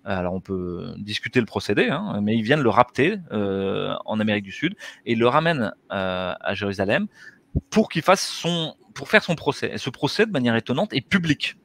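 A man's voice: fairly low pitch, sing-song, brisk, vocal fry, fairly bright tone. A middle-aged man, a guest on an interview show, explaining ideas earnestly over a headset mic on a video call from a small room. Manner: earnest, gestures with his hands while explaining. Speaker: interview guest, anesthesiologist and author. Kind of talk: explanatory conversational interview talk.

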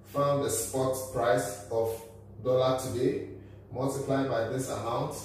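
A man's voice: medium pitch, darkish tone, recorded from a distance. A man in his thirties giving a methodical whiteboard lesson, talking step by step through the figures in a small office room. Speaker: finance educator. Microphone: camera at a distance. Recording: echoey room, voice from off-mic, faint hum.

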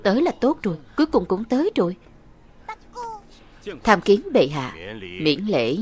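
A woman reading a scripted voice-over dub for an animated fantasy drama. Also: mid-range voice, measured pace. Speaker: voice-over narrator dubbing all characters.

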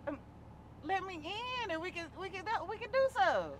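High-voiced Houston woman, playful and slangy. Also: animated, moderate pace, a little hiss or hum. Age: 30s. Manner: playful, coaxing, slangy, stammering. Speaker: prank participant. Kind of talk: casual street chat. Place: outdoors on a street, then inside a car.

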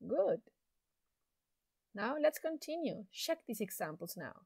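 Slow woman teaching English. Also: a little high, sing-song, gravelly, a little mellow, breathy edge, no echo, studio quality. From Chile. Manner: slow, deliberate, encouraging teacher talk. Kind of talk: slow recorded classroom lesson.

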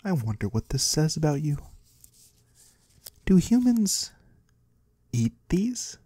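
A man playing a mysterious, courteous disembodied trader of the void in a soft-spoken ASMR roleplay, husky and breathy, slow and gently curious. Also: on the high side, sing-song, no echo, heavily acted. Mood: curious, pleased. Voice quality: husky, breathy, sometimes whispering. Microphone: close, dry microphone.

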